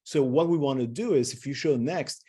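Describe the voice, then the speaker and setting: A man's voice: mid-range voice, moderate pace, mellow, slightly rough. A middle-aged man presenting a webinar, talking loosely with many fillers and restarts.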